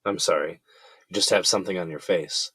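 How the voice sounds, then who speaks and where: An easygoing man in an audio drama who teases and jokes in a casual, familiar way. His voice sits fairly low and the recording is dry and close. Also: fairly quick, a bit bright, slightly rough, no room sound. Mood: teasing. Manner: casual, joking. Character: teasing, easygoing man, accused but joking with family.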